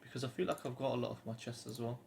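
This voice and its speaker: Gravelly young British man, rambling. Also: medium pitch, rapid-fire, darkish tone, slight background noise. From United Kingdom. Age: 20s. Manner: rambling, hesitant, earnest. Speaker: vlogger. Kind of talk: casual talk to camera.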